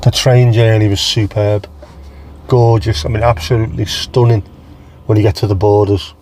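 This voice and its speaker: A man's voice: on the low side, flat delivery, normal speed, darkish tone, a little hiss or hum. A man with a mild Scouse accent, chatting casually on a livestream and answering viewers by name in a rambling way. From England. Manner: chatty, rambling, reads out chat names and answers them. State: low on energy. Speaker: livestream host.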